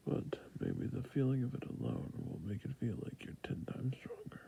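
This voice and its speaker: A man playing a softly whispering fantasy shopkeeper. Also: wildly swooping pitch, normal speed, exaggerated voice. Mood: soft, helpful. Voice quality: breathy soft near-whisper with creaky low ends. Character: friendly patient fantasy shopkeeper selling adventuring gear. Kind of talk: ASMR roleplay, whispering and soft speech.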